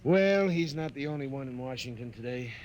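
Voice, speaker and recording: An actor playing a no-nonsense, world-weary police sergeant with an American accent and colloquial delivery, in 1950s radio style. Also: male voice, medium pitch, expressive, brisk, darkish tone, dull audio, a little hiss or hum, lightly acted. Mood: confiding.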